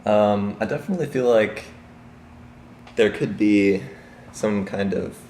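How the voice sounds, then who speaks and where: A young man from Chicago answers interview questions with a very low voice. He hesitates and fills pauses often. The recording is roomy, with faint background noise. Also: warm tone. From Chicago, Illinois. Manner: hesitant, many fillers. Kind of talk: casual street interview.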